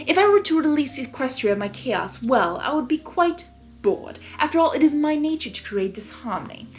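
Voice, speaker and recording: A woman's voice: high voice, very animated, a little mellow, soft background music. Girl doing sly chaos spirit guy from a story, smug, theatrical, drags words out to sell his deal. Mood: sly, grandiose. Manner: theatrical, drawn-out persuasive delivery.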